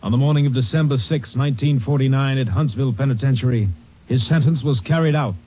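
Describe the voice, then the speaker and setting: An actor serving as the solemn, formal narrator of a vintage radio crime case. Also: male voice, mid-range voice, quick pace, natural voice. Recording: muffled old radio, music behind.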